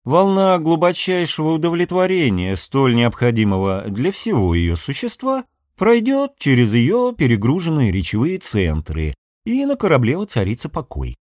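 A man voicing a sixty-year-old ship's doctor in an audiobook, dryly ironic, with a measured clinical manner. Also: a little high, huge pitch swings, darkish tone, dry recording, studio quality. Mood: deadpan diagnosing.

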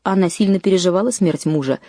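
Lady reading a history doc narration, lowish voice, dry close mic, steady with pushed-up stresses. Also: no room sound. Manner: measured reading, lifting pitch on key phrases. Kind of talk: scripted documentary narration.

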